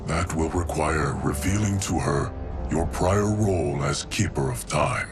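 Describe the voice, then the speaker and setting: An actor playing a stoic, ancient adviser in a video game, speaking in formal, methodical statements. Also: male voice, rumbling low, a little slow. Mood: grave. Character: stoic, ancient male servant of time, scholarly adviser. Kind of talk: dramatic video game cutscene acting. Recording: loud cinematic music behind.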